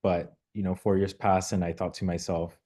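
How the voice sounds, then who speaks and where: A young man from Mexico answers interview questions, thoughtful and measured, pausing on small fillers. His voice sits low and deep, with a dark, mellow tone. He sounds like a local official telling his own story. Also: level tone. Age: young adult. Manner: measured, reflective, with filler words. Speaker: guest, local elected official.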